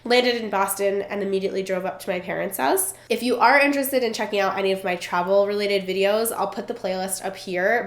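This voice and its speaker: A young woman vlogger talks casually to the camera, her nose stuffed up and her voice a little froggy from a cold. She sounds chatty and bubbly and strings thoughts together with lots of fillers. The room around her has a noticeable echo. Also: medium pitch. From Vermont. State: stuffed up, congested. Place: indoors at a relative's home. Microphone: camera in front while seated. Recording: roomy echo.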